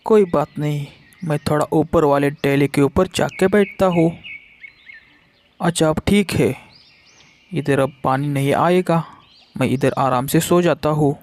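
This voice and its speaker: A man's voice: on the high side, a little slow, pauses often, darkish tone, lightly acted. A man voicing a hapless male crow who grumbles aloud to himself in a children's cartoon. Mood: startled, self-soothing. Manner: exclaiming, complaining aloud to himself. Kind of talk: Hindi children's cartoon voice acting.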